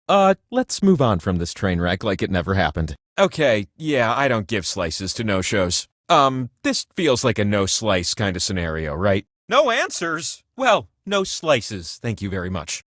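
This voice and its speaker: A man's voice: high voice, sharp tone, dry recording, studio quality, heavily acted. A man voicing a whimsical, grandiose godlike trivia wheel who hosts a video game with gushing, mock-grand playfulness. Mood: awkward, dismissive. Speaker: game show host. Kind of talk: theatrical scripted game host lines.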